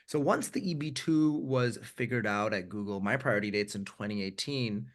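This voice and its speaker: A man's voice: on the low side, normal speed. A man in his thirties, from India with an American accent, speaking as a webinar guest over a video call, telling his story candidly and reflectively with frequent restarts. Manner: frank, reflective, frequent restarts and repeated words.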